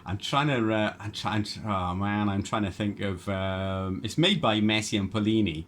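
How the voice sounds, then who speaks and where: Male radio ham, lowish voice, rambling casual delivery, clear noise in the recording. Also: normal speed, fairly bright tone. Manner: hesitant, rambling. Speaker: amateur radio operator. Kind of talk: casual two-way radio chat.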